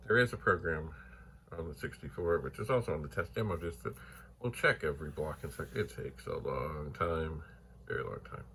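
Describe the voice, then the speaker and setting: Quiet deep-voiced man thinking aloud. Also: lively intonation, moderate pace, a little hiss or hum. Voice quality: quiet, soft-spoken. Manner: thinks aloud with trailing asides and whispered remarks. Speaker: retro computer vlogger. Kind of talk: casual rambling narration while testing hardware. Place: home computer room. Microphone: phone mic.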